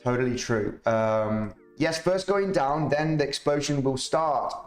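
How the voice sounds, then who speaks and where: A man streaming live chart analysis, chatty and rambling, reading out viewer comments, his voice slightly rough. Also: medium pitch, measured pace. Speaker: crypto market analyst streamer. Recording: roomy echo, faint music behind.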